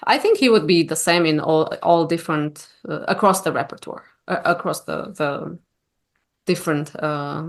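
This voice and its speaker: A woman from Armenia, speaking English as a guest in a casual interview and often pausing to search for words. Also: low voice, creaky. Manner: warm, searching for words with many fillers. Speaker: guest opera singer.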